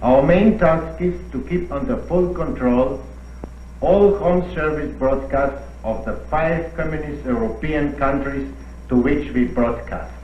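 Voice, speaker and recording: A man supervising a monitoring section, explaining his work in a halting way, searching for words. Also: a little high. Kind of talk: unscripted explanation. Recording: roomy, dull old film sound with hiss.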